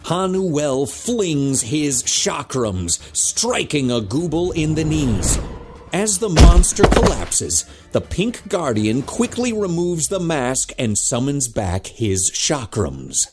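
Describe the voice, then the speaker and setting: A man's voice: fairly high pitch, big pitch swings, takes it slowly, over loud music. A man narrating a scripted action audio drama with dramatic storytelling delivery.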